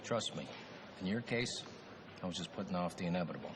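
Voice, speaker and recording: An actor playing a tough, cocky prison inmate in a cartoon film, blunt and sardonic. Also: male voice, low-pitched, lively intonation, fairly quick, noisy background. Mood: dry, dismissive. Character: tough, cocky, self-serving male prison inmate, wisecracking brawler. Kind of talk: animated film dialogue.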